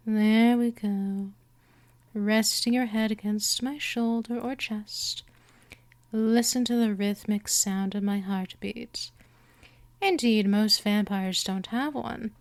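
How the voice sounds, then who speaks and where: Woman voicing a vampire mistress, slow pace, gentle, dominant, teasing, soothing, whispery moments, hypnosis roleplay audio. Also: on the high side. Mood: tender, hypnotic. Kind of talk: scripted hypnosis roleplay, ASMR.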